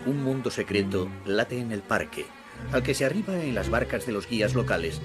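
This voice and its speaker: A man reading scripted documentary narration in a measured, descriptive way. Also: fairly low pitch, expressive. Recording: voice-over with loud music underneath. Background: music.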